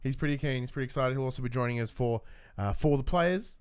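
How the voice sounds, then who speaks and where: A man's voice: mid-range voice, fast talker, darkish tone, clear, resonant tone. A man co-hosting a podcast in a casual, chatty style, putting questions to his partner. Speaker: podcast co-host reading viewer questions.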